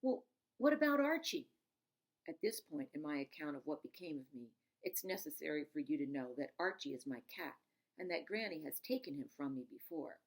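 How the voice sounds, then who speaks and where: A woman reading a storybook aloud in an expressive, emphatic narrating style. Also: low-pitched, brisk. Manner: dramatic, emphatic, stops to explain words. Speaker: storybook reader.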